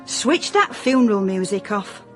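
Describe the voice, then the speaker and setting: An actress playing a nagging, gossipy middle-aged South Yorkshire mother in a comic radio drama, fussing and deadpan. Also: mid-range voice, measured pace, over music. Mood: disapproving.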